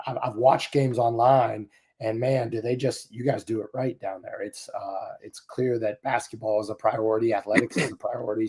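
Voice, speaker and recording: A man's voice: mid-range voice, a little mellow. A man, a college basketball coach, talking as a guest in a casual interview, friendly and upbeat.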